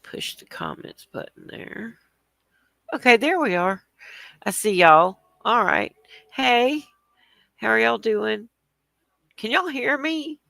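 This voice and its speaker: Stuffy woman with a gravelly voice. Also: on the high side, sing-song, slow, pauses often, dry recording. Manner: chatty, rambling, greets viewers, soft laughs. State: stuffy, congested. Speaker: livestream host. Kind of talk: casual livestream chat.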